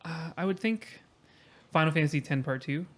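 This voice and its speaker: Higher-voiced man in casual podcast chat. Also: lively intonation, moderate pace. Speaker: podcast panel guest.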